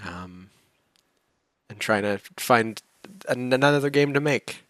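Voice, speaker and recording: A man's voice: mid-range voice, takes it slowly, no room sound, clean studio sound. A man chatting casually on a livestream Q&A, explaining his team's work at length over a video call. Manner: relaxed, explains at length, chuckles between points. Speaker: game developer answering questions.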